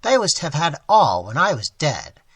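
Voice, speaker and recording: A man's voice: huge pitch swings, normal speed, a bit bright, slightly rough, no room sound, studio quality, heavily acted. A man voicing a frail old miser who cries out in anguish and pleads. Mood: reproachful. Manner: shrill, wailing cries.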